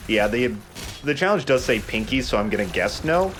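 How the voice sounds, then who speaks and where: A man's voice: mid-range voice, animated, normal speed, a bit bright. A man giving casual, explanatory gameplay commentary with some swearing, as the player hosting a let's play. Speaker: let's play host playing the game. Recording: faint background noise.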